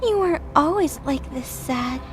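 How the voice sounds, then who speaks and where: A woman playing a composed, gently defiant young-sounding mortal woman in an audio drama. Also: sits high, expressive, a little slow, faint music behind. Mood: soft, knowing.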